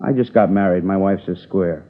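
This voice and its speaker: A man's voice: low voice, moderate pace, lightly acted. An actor playing a sullen, aging male ex-convict who answers police questions in a whiny, evasive, complaining way in a 1950s radio crime drama. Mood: defensive. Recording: tinny narrow-band old radio transcription.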